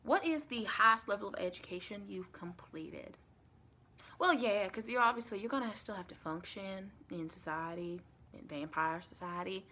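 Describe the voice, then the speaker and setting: A soft-spoken woman playing a chatty, caring female vampire intake interviewer in an improvised ASMR roleplay, rambling and reassuring with pet names. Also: medium pitch, moderate pace. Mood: chatty, matter-of-fact. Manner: rambling, reassuring, endearments like honey and sweetie.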